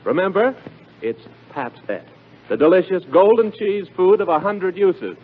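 A man's voice: sits high, sing-song, a little slow, music in the background. A man announcing on 1940s radio with a polished, emphatic sales delivery. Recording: narrow-band noisy 1940s radio recording.

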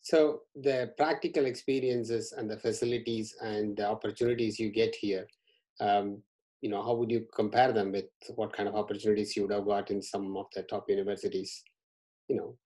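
A middle-aged man interviewing a student over a video call from a small room at home, speaking in a measured way and often repeating words. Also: on the low side, flat delivery, mellow, rough recording. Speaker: interviewer, university staff. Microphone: video call webcam.

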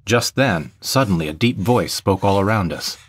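A man's voice: low-pitched, lively intonation, moderate pace, breathy edge, no echo. A man narrating a scripted sci-fi audio drama in the first person. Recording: faint music behind the voice.

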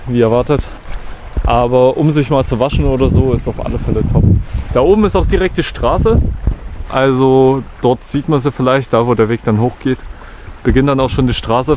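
A young man talking casually into an action camera held at arm's length outdoors, relaxed and chatty, explaining as he goes. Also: mid-range voice, moderate pace. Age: young adult. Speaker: vlogger. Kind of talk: casual travel vlog. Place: outdoors by a lake and road in a forest. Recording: outdoor camera audio with clear background noise.

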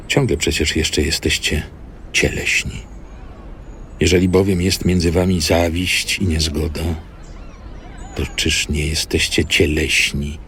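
A man reading a Bible letter in a slow, solemn, emphatic scripted way. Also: very deep voice, creaky, fairly bright tone, a little hiss or hum.

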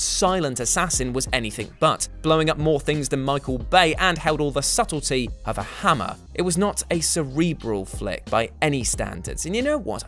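A man's voice: a little high, a bit bright. A British man in his thirties presenting scripted countdown commentary in a sarcastic, emphatic, exaggerated manner. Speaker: video list presenter. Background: background music.

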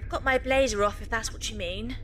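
A woman's voice: a little high, a little fast, a bit bright, slight background noise, natural voice. A woman playing a spirited young adventurer from 1930s England in an amateur audio drama, British, prim and teasing. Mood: wry.

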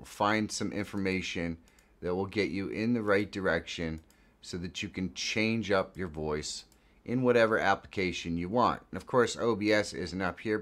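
A man in his fifties with an American accent, giving a casual software tutorial in a plain-spoken, conversational way. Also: on the low side. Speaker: tutorial presenter. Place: small indoor home studio.

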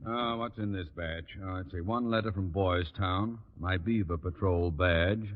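An actor playing a pompous, flustered, middle-aged school principal in a 1940s radio sitcom, with blustering, formal, theatrical delivery. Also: male voice, sits low, a little slow, in a large hall. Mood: stern, fussy. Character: pompous, flustered, easily exasperated older male school principal. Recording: muffled, noisy 1940s radio recording.

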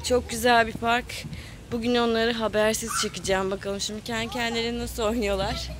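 A woman's voice: on the high side, little pitch movement, brisk, noisy background. A woman chatting casually to the camera and calling out to children in a sing-song way, recorded on a handheld phone at an outdoor playground. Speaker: parent filming a family vlog.

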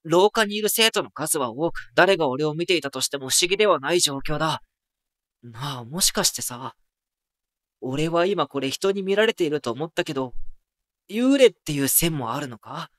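A man playing a wry, self-mocking high school boy who narrates an anime audio drama with dry asides. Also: lively intonation, moderate pace, fairly bright tone, no room sound.

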